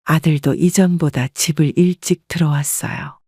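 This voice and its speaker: A woman narrating her own family story in the first person, calm and reflective. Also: low voice, normal speed, vocal fry, no room sound, clean studio sound.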